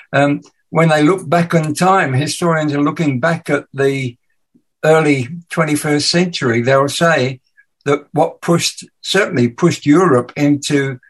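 A man with an English accent mixed with Danish hosts a relaxed conversation. His voice sits fairly high, and he rambles a little as he works through his thoughts. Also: husky. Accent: English with Danish mix. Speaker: podcast host. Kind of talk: casual chat.

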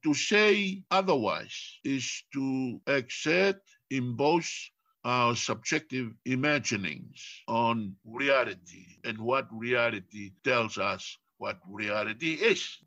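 An older man from Tonga with a Tongan accent, English not his first language, talking as a philosopher guest in a reflective, deliberate way, repeating phrases for emphasis. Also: mid-range voice, animated, sharp tone, lo-fi sound. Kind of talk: reflective lecture-like talk.

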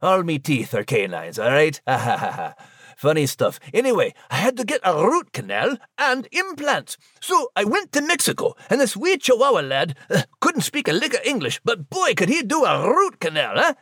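An actor playing a scrappy, jokey male dog with a husky voice and a Scottish accent, bantering in a comic audio drama. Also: sits high, huge pitch swings, moderate pace, a bit bright, dry recording, studio quality, exaggerated voice. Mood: wry banter. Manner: folksy, colloquial banter.

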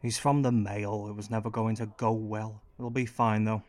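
A man's voice: lowish voice, little pitch movement, normal speed, a little mellow, close to an everyday voice. A man playing a wry, sly political aide in a radio play, with a colloquial, needling manner. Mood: wry, scheming.

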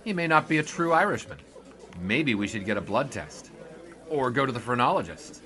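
Man playing glib young reporter, lively swooping delivery. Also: medium pitch, normal speed, crowd noise, natural voice. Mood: breezy, wry. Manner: wisecracking, playfully formal. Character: eager, glib young male 1920s sports reporter. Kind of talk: 1920s period audio drama, with narration.

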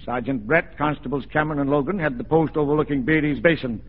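An actor playing a stern police officer leading an inquiry in a radio drama, formal and questioning. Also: male voice, on the high side, fast, close to an everyday voice. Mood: stern, official. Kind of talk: 1940s radio drama acting. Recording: dull band-limited 1940s radio recording.

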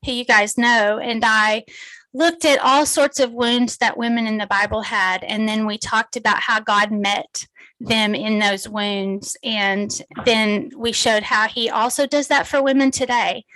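A woman's voice: on the high side, steady tone, measured pace, sharp tone, no room sound, rough recording. A middle-aged woman from Texas with a Texan accent, a guest author and speaker chatting casually in an interview over a video call. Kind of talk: casual podcast interview chat.

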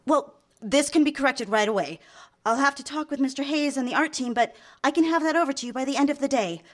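Casting: actress as eager young career girl secretary, polite, earnest, slightly high voice, radio play. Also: fast, lightly acted. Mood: polite, businesslike. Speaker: radio drama actor. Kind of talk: live radio drama acting with some narration.

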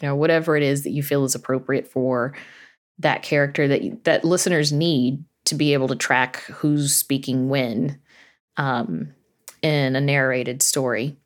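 A woman speaking as an interview guest, explaining her thoughts casually and peppering them with "you know.". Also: low voice. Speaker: podcast creator and narrator, interview guest.

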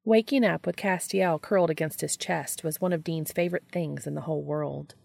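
A woman narrates a story in a low voice thick with vocal fry. The reading is scripted audiobook narration, captured close and dry. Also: steady tone, fairly quick, darkish tone, dry recording, faint music behind. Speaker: audiobook reader of fan fiction.

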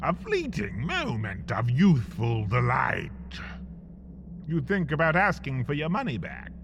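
A man's voice: mid-range voice, wildly swooping pitch, a little slow, noisy background. A man reading a scripted comic story in the second person, with theatrical, dramatic delivery and wry understatement, slightly muffled over faint ambience. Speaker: podcast host and narrator. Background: faint ambient sound effects.

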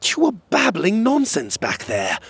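Man as exasperated young friend, husky and raised. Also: high voice, wildly swooping pitch, a little slow, fairly bright tone, no echo, voice pushed up, full character voice. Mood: incredulous.

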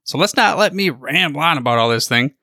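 A man's voice: on the high side, lively intonation, fairly quick, pushed and bright, dry recording, studio quality. A man hosting a podcast, reading a sponsor spot casually with folksy, emphatic enthusiasm. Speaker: podcast host.

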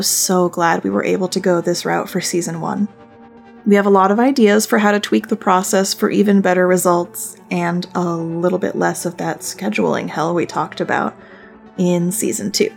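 Creaky-voiced woman hosting a podcast. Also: on the low side. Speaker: podcast host, voice director. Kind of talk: casual semi-scripted podcast narration.

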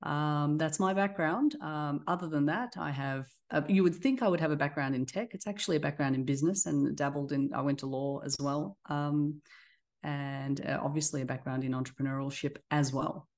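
A woman's voice: deep voice, normal speed, a little mellow. A middle-aged woman with an Australian accent, a company CEO chatting as a guest on a podcast, friendly and full of fillers. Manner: friendly, with fillers and short laughs. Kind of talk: casual interview chat.

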